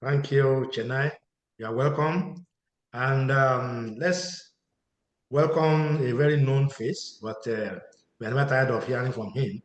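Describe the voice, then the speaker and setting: A man's voice: medium pitch, unhurried, roomy sound. A man moderating an online panel over a video call, introducing speakers with many hesitations. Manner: hesitant, many fillers, warm thanks to panelists.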